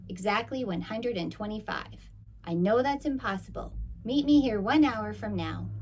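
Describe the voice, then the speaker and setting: A woman's voice: mid-range voice, a little hiss or hum, lightly acted. A woman voicing a proud, scornful male storybook tiger, emphatic and condescending.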